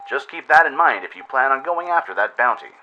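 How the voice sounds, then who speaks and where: A wry male radio announcer of the wasteland, acted by a man, delivers advisories in a very bright, forceful voice. It has the style of a public service broadcast. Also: mid-range voice, lively intonation, fairly quick, dull audio, soft background music, pitched above the performer's own voice. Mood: wry warning.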